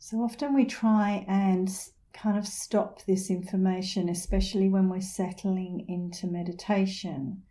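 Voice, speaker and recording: A middle-aged woman leading a guided meditation in a soothing, unhurried manner with gentle pauses. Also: medium pitch, flat delivery. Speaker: meditation instructor.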